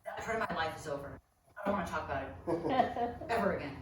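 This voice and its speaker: A woman's voice: deep voice, animated, fairly quick. A woman playing a snobbish, bitter film buff in his thirties, pompous and exasperated, in a comedic table read. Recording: echoey, noisy room, distant mic.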